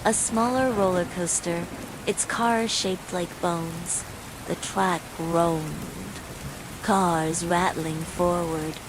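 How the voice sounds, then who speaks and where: A woman's voice: fairly low pitch, drawn-out words. A woman reading a scripted horror bedtime story, with falling rain behind her. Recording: steady rain noise throughout.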